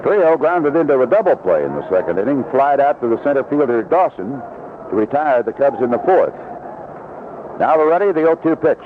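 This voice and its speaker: A man's voice: medium pitch, very animated, brisk, phone-quality sound. A man calling baseball play-by-play on an old radio broadcast from a ballpark, mixing live commentary with promotional reads. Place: outdoor ballpark broadcast booth. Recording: band-limited vintage AM radio broadcast, noisy.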